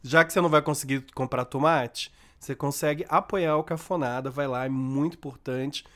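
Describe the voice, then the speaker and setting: A Brazilian man co-hosting a podcast, chatting in a playful, camp way and laughing often. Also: medium pitch. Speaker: podcast co-host. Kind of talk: campy casual chat.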